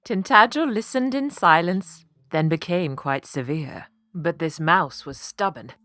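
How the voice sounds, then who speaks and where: A woman reads a children's story in a slightly low voice with plenty of melodic movement. Her delivery is unhurried, rhythmic and deliberate, recorded close and dry. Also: animated, a bit bright, dry recording. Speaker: voice actor, storyteller. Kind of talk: expressive scripted children's story narration.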